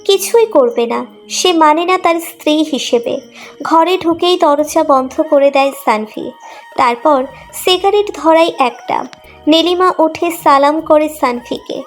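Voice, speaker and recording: High voiced lady narrating an emotional love story, reading from script, soft music behind. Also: a little slow, rough recording.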